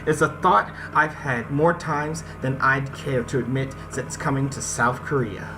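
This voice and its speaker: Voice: mid-range voice, a little slow. Game host reading aloud over music. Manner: reads text aloud, stumbles on words, addresses viewers directly. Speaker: gaming video host reading a visual novel aloud. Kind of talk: let's-play narration with casual asides. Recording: music behind the voice. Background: visual novel game music.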